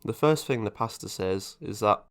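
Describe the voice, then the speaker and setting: Low-voiced man narrating an explainer. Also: lively intonation, moderate pace. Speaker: video essay narrator. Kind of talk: explanatory scripted narration with casual asides.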